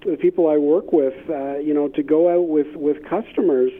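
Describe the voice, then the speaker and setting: A narrow, phone-like line carries a man's somewhat high voice. He speaks as a consultant being interviewed, explaining things conversationally. His phrasing halts and doubles back on words as he goes. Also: steady tone, normal speed. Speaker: interview guest, business innovation consultant. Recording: tinny, band-limited phone-like line.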